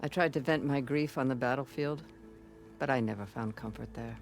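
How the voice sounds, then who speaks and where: Old grandma chaplain of a warrior tribe, solemn n measured, video game drama, faint music under it. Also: female voice, extremely low pitch, creaky. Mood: sorrowful, solemn. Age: older. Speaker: game character actor. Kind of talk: dramatic game cutscene acting. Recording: music behind the voice.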